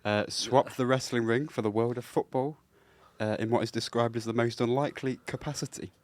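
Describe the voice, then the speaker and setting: A young man, the podcast producer, reading out a sports story haltingly with lots of "uh". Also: medium pitch, steady tone. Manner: halting, filler-heavy reading of news. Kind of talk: casual chat.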